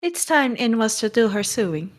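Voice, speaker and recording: A woman's voice: fairly high pitch, very animated, moderate pace. A woman playing a stern but kind middle-aged guardian in a storybook read-along, brusque and scolding. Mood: exasperated. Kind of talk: acted audiobook read-along.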